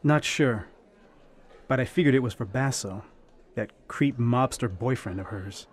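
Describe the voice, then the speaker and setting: An actor playing a loyal, protective nightclub worker in a suspense radio drama, with a husky voice. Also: male voice, mid-range voice, a little slow, lightly acted. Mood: wry.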